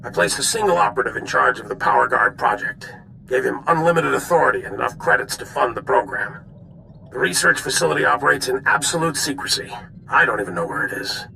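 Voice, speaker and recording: Grave old army general for a game, really creaky gravelly voice, bright edge, deliberate. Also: male voice, medium pitch, lively intonation, slight background noise, exaggerated voice, rough recording. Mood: grave, confiding. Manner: grave, deliberate military briefing. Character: weary, grave older male military general. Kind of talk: dramatic video game dialogue.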